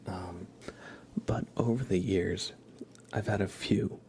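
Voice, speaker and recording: A man hosting an ASMR livestream, chatting casually in a soft, hushed, gentle manner. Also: low-pitched, steady tone, slow, a little hiss or hum. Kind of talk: whispered ASMR, casual rambling chat.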